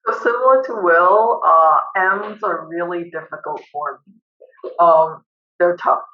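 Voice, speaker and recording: A woman's voice: lowish voice, a little slow. A woman professor on a panel who stutters, repeating words and sound starts, speaking firmly and directly over a video call. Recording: tinny phone-like line.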